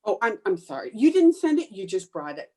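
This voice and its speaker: A woman playing an excitable, scheming housewife in an old-time radio sitcom, with a theatrical, gushing manner. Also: mid-range voice, fairly quick, slight background noise, close to an everyday voice. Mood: relieved, curious. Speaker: amateur radio player.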